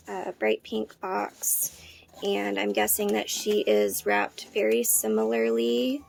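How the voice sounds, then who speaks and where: A woman in her thirties who sounds hoarse, chatting casually with lots of ums and likes while unboxing a doll for her channel. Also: medium pitch. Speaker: doll collector vlogger.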